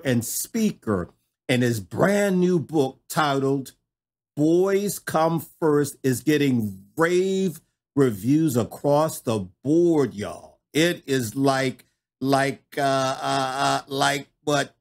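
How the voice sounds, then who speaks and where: Host, middle-aged man, exuberant, folksy, slightly high-pitched, takes his time. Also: lively intonation, takes it slowly. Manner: exuberant, folksy, repeats himself, says y'all. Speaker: podcast host. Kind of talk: enthusiastic interview chat.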